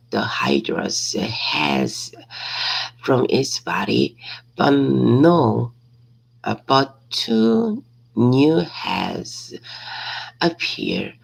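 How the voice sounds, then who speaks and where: A woman reading a simple story aloud as practice, hesitantly, repeating and correcting words, with a raspy, creaky voice. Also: very deep voice, rough recording.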